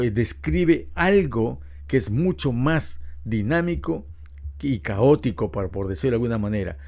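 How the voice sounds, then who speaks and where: A man's voice: mid-range voice, vocal fry, darkish tone. A middle-aged man preaching a Bible lesson, explaining patiently and asking rhetorical questions. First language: Spanish. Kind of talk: Bible teaching talk.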